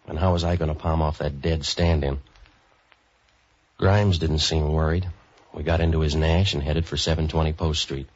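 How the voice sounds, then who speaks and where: An actor playing a world-weary, wisecracking troubleshooter in a deadpan, sardonic 1940s radio detective style. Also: male voice, very deep voice, husky, no echo, exaggerated voice. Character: world-weary, wisecracking hard-boiled private troubleshooter, a man. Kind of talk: 1940s radio detective acting, narration and dialogue. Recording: muffled old 1940s radio recording.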